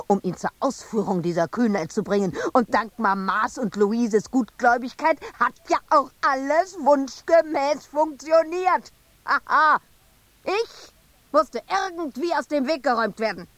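A woman's voice: a little high, no echo, lightly acted. An actress playing an embittered, intense woman sculptor confined in an asylum, in a radio drama monologue. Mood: furious, accusing.

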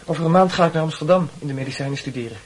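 An actor playing an earnest, hesitant young man in a Dutch radio play, with a husky voice. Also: on the high side, very fast, low-quality recording. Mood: shy, halting.

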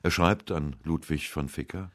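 Male narrator for a literary radio documentary: extremely deep voice, measured and serious delivery. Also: a bit bright.

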